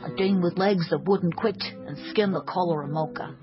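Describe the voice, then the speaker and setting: A woman's voice: lowish voice, normal speed, natural voice. A woman playing a bookish, awkward young dropout who tells her story like a crime novel in a radio drama, self-deprecating and dramatic. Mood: dreamy, wry. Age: young adult. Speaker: narrator and lead character. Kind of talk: radio drama, first-person noir-flavoured narration and dialogue. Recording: dull sound with music behind. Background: music.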